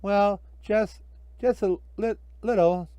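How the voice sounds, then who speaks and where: A man's voice: sits high, steady tone, slow, warm tone, clear, resonant tone, no echo, pitched above the performer's own voice. A man voicing a sweet, worried young girl in a children's story, pleading and polite. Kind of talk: amateur children's storybook reading.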